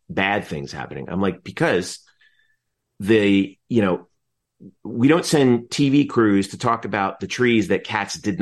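Middle aged guy, lowish voice, casual interview talk, rambles and keeps cutting himself off to explain stuff. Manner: rambling, animated explaining with frequent self-interruptions. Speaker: interview guest, announcer and teacher.

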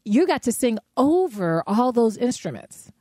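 A woman singer with a soft voice, talking casually and animatedly with many "you know" asides. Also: a little high, big pitch swings, unhurried, no room sound, clean studio sound. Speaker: singer and podcast co-host. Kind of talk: casual conversation.

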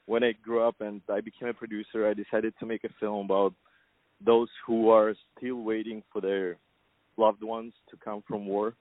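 A man from Kazakhstan talks over a thin, tinny phone line, his voice low and flat. He speaks hesitantly, with many fillers, as a film producer answers an interviewer. The line sounds dry and close. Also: moderate pace, no echo. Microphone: telephone.

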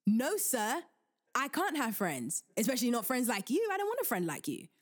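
A young woman hosting a podcast, giving casual relationship advice in an animated, joking and preachy way. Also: on the high side, moderate pace. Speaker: podcast host.

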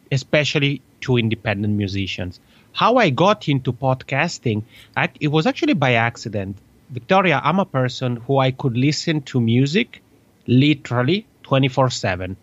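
A man's voice: medium pitch, no echo, muffled recording. A man with an Italian accent, a native Italian speaker in his thirties, chatting cheerfully as a podcast guest. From Italy. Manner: cheerful, chatty, quick with laughs. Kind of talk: casual interview chat.

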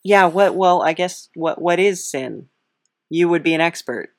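A woman hosting an improvised comic interview, speaking in a hesitant, halting and bemused way. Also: fairly low pitch, no room sound, clean studio sound. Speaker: podcast host interviewing a character.

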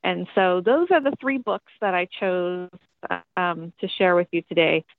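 A woman's voice: fairly low pitch, expressive, unhurried, no room sound. A woman from Tennessee, a metal band singer, answering interview questions in a chatty, rambling way with many ums. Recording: tinny phone line.

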